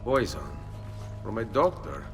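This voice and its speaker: A man's voice: on the low side, sing-song, unhurried, music in the background. An actor playing a determined, earnest young Italian nobleman turned assassin, speaking with an Italian accent. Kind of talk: dramatic game cutscene acting.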